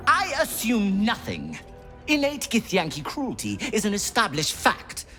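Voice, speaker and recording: An actor playing a callous, clinical scholar from the Underdark, lecturing in a precise, detached manner in a video game. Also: male voice, high voice, wildly swooping pitch, a little slow, pushed and bright, soft background music, heavily acted.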